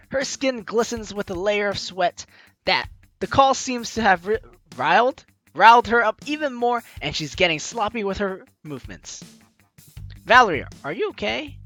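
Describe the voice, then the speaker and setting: Bright, animated woman doing a let's play. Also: medium pitch. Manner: playful, theatrical asides. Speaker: gaming video host. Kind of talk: let's play, reading game text aloud with casual commentary.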